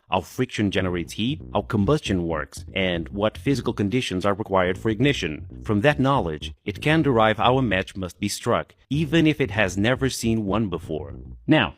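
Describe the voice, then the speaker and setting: A man with a fairly low voice and very animated intonation narrates an explainer. He talks conversationally, tossing in playful asides and posing questions to the listener as he goes. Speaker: presenter of an engineering explainer video. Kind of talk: enthusiastic scripted explainer narration.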